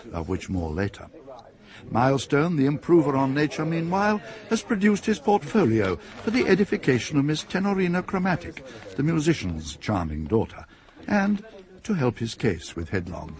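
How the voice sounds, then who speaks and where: A man narrating a radio drama in a measured storytelling manner. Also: medium pitch.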